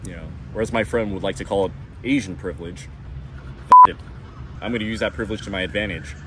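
A man's voice: low-pitched, fast. A man in his thirties with an American accent, talking bluntly and casually to camera in a park. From California. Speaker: vlogger. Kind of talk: candid talk to camera. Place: sunny city park outdoors. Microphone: wireless clip-on mic, camera on tripod. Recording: outdoor park with traffic noise.

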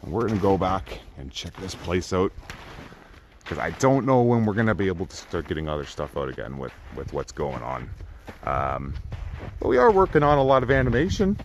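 Low-pitched man vlogging over outdoor noise. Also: sing-song, fairly quick. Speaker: exploration vlogger. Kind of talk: casual off-the-cuff walking commentary. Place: outdoors in snowy burned-out house ruins. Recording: clearly noisy outdoor recording with clicks.

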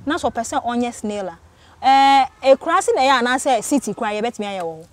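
A young Ghanaian woman interviewing a farmer outdoors, brisk and full of quick affirmations. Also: fairly high pitch, animated, no room sound. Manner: brisk, frequent short affirmations. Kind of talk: casual field interview. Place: outdoors on a farm under trees.

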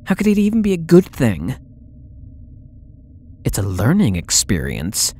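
A man's voice: fairly low pitch, mellow, slightly rough, full character voice. Man playing a swooping, coy lovesick young stalker. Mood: challenging, intense. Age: young adult. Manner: coy, pleading, theatrical, drawn-out words. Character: possessive, lovesick young male stalker under interrogation. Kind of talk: ASMR audio roleplay, dramatic acting.

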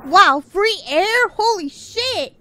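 An excitable young woman streamer reacting live. Her voice is very high and leaps all over the place, with frequent cursing and shrieking outbursts. Music plays behind her. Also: slow.

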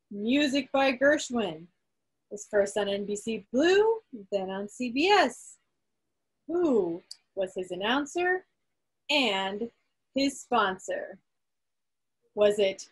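Female quiz host, slow delivery with a husky edge, light banter between questions, on a video call. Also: a little high, low-quality recording. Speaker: quiz host at club meeting.

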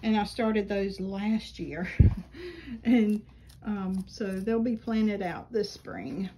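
A middle-aged woman chatting casually about her seedlings in a small greenhouse, unhurried and rambling with many ums, filmed on a handheld phone. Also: medium pitch, steady tone, background noise. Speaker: flower farm vlogger. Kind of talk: casual gardening vlog. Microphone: phone camera held while filming.

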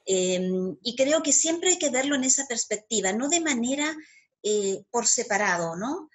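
A middle-aged woman from Argentina, a historian guest, explaining history in a measured way over a video call from home and tagging her points with "¿ah?". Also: a little high, lively intonation, husky. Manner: measured, frequent "¿ah?" tags. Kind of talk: academic explanation. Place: home room. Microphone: video call laptop mic.

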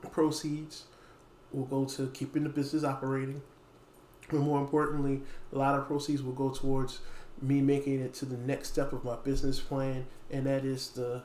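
A man in his thirties talking casually to camera as a store owner making an announcement, pausing often mid-phrase. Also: medium pitch, a little mellow. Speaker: small game store owner and video host. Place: dim indoor room with wooden floor. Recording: echoey room, faint background noise.

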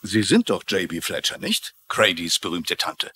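An actor playing a smooth, courteous office boss, speaking formally in a dubbed TV drama. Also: male voice, sits low, huge pitch swings, moderate pace, bright, forceful tone, slight background noise, exaggerated voice.

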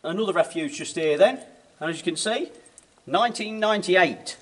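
A middle-aged man, giving jokey, teasing commentary while exploring a dark rail tunnel, recorded on a handheld camera. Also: high-pitched, animated, normal speed. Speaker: presenter and group leader.

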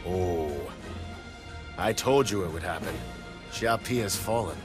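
A man's voice: deep voice, a little slow, over music. An actor playing a proud, bold middle-aged warlord and father in a video game, declamatory and commanding. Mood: scornful, assured. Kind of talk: dramatic English video game cutscene acting.

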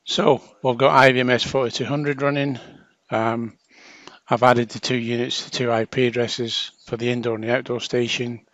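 A man's voice: medium pitch, level tone, moderate pace, a bit bright, no echo, highs cut off. Man giving a dry-sounding technical walkthrough. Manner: informal, step-by-step explaining. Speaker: technical trainer. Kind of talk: hands-on tutorial narration.

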